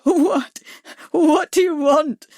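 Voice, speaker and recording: A woman's voice: a little slow, no room sound, clean studio sound, close to an everyday voice. A woman voicing a timid, frightened man who stammers and pleads. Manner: stammering, pleading, high and tearful.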